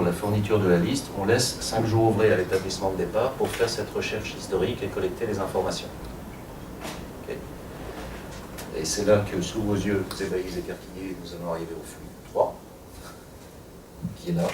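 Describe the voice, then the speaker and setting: A man's voice: low voice, fairly even intonation, normal speed, pauses often. A man presenting a technical workshop to a room of attendees, explaining step by step with frequent fillers and light asides. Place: conference room. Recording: echoey room from far off, audible noise.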